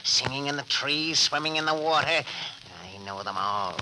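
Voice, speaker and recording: An actor playing an eccentric, hostile old hermit uncle in a melodramatic 1940s radio drama. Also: male voice, medium pitch, animated, a little slow, bright, forceful tone, a little hiss or hum, low-quality recording. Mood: brooding. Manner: intense, melodramatic, repeating phrases.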